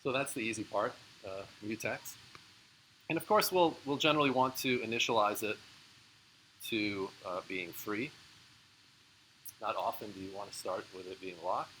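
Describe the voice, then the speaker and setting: A man's voice: on the low side, moderate pace, pauses often, bright, forceful tone, a little hiss or hum, rough recording. A man giving a live university lecture, explaining in a casual way with frequent fillers and answering student questions. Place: lecture room.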